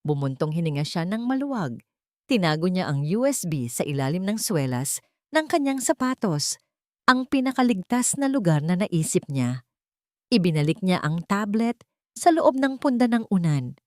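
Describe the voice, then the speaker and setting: A woman's voice: lowish voice, sing-song, normal speed, darkish tone, dry recording, clean studio sound. A woman narrating a scripted dramatic story as its storyteller.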